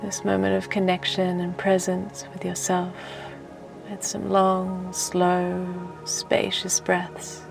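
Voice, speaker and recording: A woman leading a guided relaxation meditation in a soft, gentle, soothing voice that turns breathy at times, with unhurried phrases. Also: lowish voice, monotone. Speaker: meditation teacher and host. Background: music.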